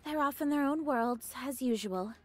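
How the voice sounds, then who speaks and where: An actress playing a brusque, stoic young squad leader in a video game, husky and terse. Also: female voice, high voice, lively intonation, normal speed. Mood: brusque, businesslike. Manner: terse, matter-of-fact. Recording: dry studio voice, faint game music.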